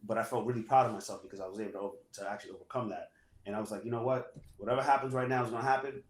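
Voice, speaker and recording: A man, a Spanish speaker, telling his story casually in an interview and filling pauses with "you know". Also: lowish voice. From New York. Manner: relaxed, many "you know" fillers. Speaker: guest, assistant principal. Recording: echoey room.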